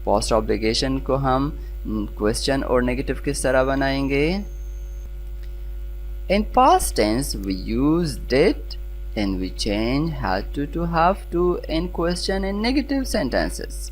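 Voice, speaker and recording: Pakistani-accented grammar instructor, mid-pitched, very varied intonation, deliberate enunciation, faint humming noise on the recording. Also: very animated, rough recording. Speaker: English grammar teacher. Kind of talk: scripted lesson, explaining and reading example sentences.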